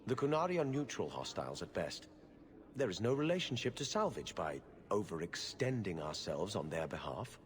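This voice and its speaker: A guarded, middle-aged official from a video game, formal and haughty. His voice sits fairly low and moves a lot in pitch, with dry disdain in measured phrasing. It rings in a reverberant hall. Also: big pitch swings, a little hiss or hum. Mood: guarded, disdainful. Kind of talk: video game cutscene acting.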